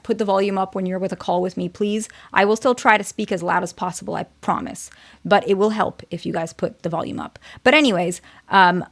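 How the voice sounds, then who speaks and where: A young woman with a naturally quiet, soft voice talks straight to her viewers as the host of her own channel. She speaks candidly, hedging her points and restarting phrases as she works through her advice. Her pitch sits in the ordinary middle range. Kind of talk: casual advice talk to camera.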